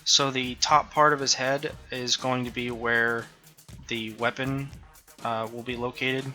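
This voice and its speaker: A man walks through his own game in a relaxed devlog, pausing often with ums and uhs as he thinks aloud. His voice is very bright and forward, sitting at an ordinary pitch for a man. Soft music plays faintly behind him. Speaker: indie game developer. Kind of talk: casual devlog walkthrough. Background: faint background music.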